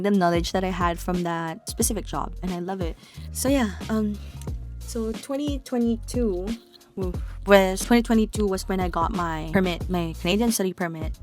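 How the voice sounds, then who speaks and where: A young woman from the Philippines with a Filipino accent, a native Tagalog speaker, chatting candidly to camera as a vlogger. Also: fairly low pitch. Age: young adult. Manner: chatty, candid, sprinkled with likes and asides. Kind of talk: casual talk to camera.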